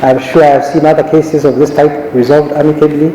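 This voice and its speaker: A man's voice: fairly high pitch, level tone, warm tone, a little hiss or hum. Middle-aged man speaking in an echoey hall. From Kenya. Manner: measured, anecdotal, with frequent restarts. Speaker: judge giving a conference talk. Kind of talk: conference speech. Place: large conference hall.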